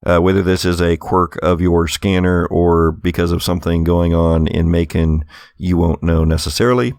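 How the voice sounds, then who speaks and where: A man's voice: low voice, fairly even intonation, normal speed, a little mellow, no room sound, studio quality. A man narrating a tabletop roleplaying game as game master, describing scenes in a conversational, slightly hesitant way.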